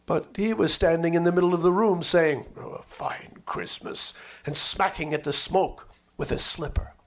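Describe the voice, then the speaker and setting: Higher-pitched man reading a lyrical memoir. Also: normal speed, a bit bright.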